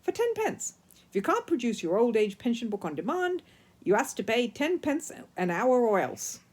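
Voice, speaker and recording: Woman as a grumpy, husky deckchair man. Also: fairly high pitch, very animated, moderate pace, darkish tone, voice pushed up. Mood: officious, exasperated. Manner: grumpy, sarcastic, officious. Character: grumpy, sarcastic male deckchair attendant. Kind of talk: children's storybook character reading.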